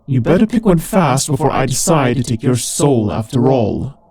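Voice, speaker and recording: A man's voice: mid-range voice, very animated, moderate pace, a little mellow, breathy edge, no room sound. A man playing a teasing, sardonic demon ruler of hell in a scripted roleplay audio drama, with a conversational, theatrical delivery. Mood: mock-reassuring, impatient.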